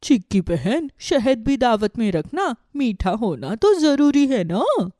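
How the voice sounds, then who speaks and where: A friendly mother-aged she-bear from a children's cartoon, acted by a woman. She draws her words out very slowly. Her pitch is fairly high. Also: very animated, dark tone, no echo, studio quality. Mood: sweet, friendly. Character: slow-talking, friendly female bear. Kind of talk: children's story cartoon dialogue.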